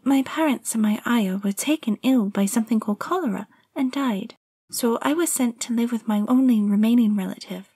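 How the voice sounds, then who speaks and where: A woman's voice: a little high, warm tone, breathy edge. An actress voicing a lonely, sullen young girl who narrates her own story in an audio drama. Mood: wistful. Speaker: narrator and actor.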